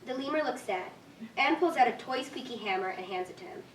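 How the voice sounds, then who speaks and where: A woman with a fairly high voice reads stage directions as scripted narration. The room is echoey and she sounds some distance from the mic, with faint noise behind. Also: lively intonation, fairly quick.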